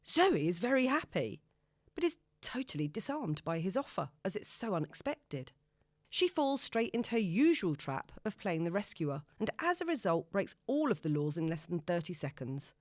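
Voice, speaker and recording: A woman audiobook narrator reading a novel in an expressive, dramatic storytelling manner. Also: fairly low pitch, moderate pace, no echo, clean studio sound.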